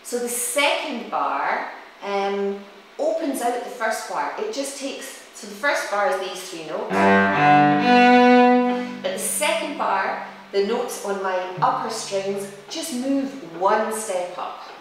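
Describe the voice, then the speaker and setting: A woman's voice: mid-range voice, lively intonation, slow pace. A woman giving a reflective, explanatory talk on music, like a storytelling lecture from a presenter who also demonstrates. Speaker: presenter, cellist and music teacher. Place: reverberant indoor room. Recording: very echoey room, distant mic, faint noise.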